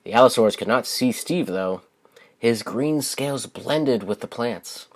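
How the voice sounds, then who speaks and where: A man's voice: medium pitch, expressive, unhurried. Man reading a children's storybook. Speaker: children's book author reading aloud.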